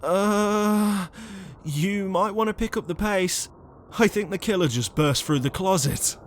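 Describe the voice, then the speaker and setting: Earnest, slow-paced ghost ringleader, played by a man. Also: high-pitched, very noisy. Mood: flustered. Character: earnest, bossy ghost ringleader, easily flustered.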